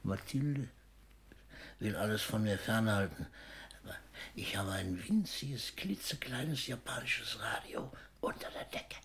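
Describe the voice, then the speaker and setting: A man's voice: fairly low pitch, animated, measured pace, a bit bright, a little hiss or hum. An older actor in a German radio play, playing an ailing, philosophical old poet with a wry, fatherly manner. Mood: weary, confiding. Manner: philosophical, wryly theatrical, with whispered asides.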